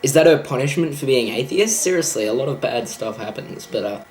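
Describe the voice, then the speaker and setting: A man's voice: medium pitch, animated, brisk, darkish tone. Man, young, sarcastic profane rant, echoey room, faint background hiss. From Australia. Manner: profane, exasperated, sarcastic ranting. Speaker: vlogger. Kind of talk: ranting film review.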